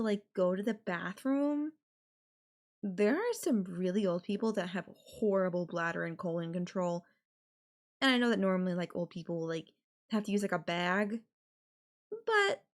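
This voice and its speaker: An obsessive yandere girlfriend, acted by a woman for an intimate audio roleplay. She speaks slowly at a middling female pitch, drawing her phrases out coyly. Her casual, chatty wording carries a possessive, playful menace. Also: creaky, exaggerated voice. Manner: coy, drawn-out, casual filler-laden phrasing with giggles and sighs. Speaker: voice actor.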